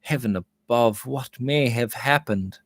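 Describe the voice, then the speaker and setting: A man's voice: mid-range voice, unhurried. A man reading the part of a brooding, agitated gold prospector in an audiobook, with dramatic, impassioned delivery. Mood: agitated, despairing.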